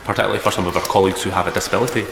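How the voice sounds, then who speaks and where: Scottish male politician, very deep voice, noisy echoing chamber clip. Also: level tone, racing, gravelly. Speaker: member of parliament. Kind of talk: formal question in a chamber. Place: parliament chamber. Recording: reverberant chamber, loud background noise.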